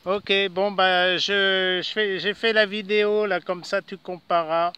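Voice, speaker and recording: A man's voice: high-pitched, flat delivery, measured pace. A man chatting hesitantly in a casual amateur radio contact, using call signs and frequent tag words. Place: outdoors in a city park. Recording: clearly noisy outdoor recording with clicks.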